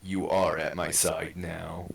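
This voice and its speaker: A man playing a solemn, resigned undead ninja brother in a fan drama, speaking in a measured, formal way. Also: low voice, little pitch movement, gravelly, slight background noise, exaggerated voice. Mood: grave, reassuring. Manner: slow, measured, formal delivery. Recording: echoey room, distant mic.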